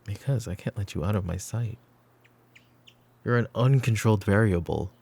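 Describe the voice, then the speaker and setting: A man playing a weary middle-aged mafia boss in a roleplay audio drama, stern but protective, speaking in a measured, commanding way. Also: a little mellow, no echo. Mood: firm, businesslike. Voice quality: low, gravelly.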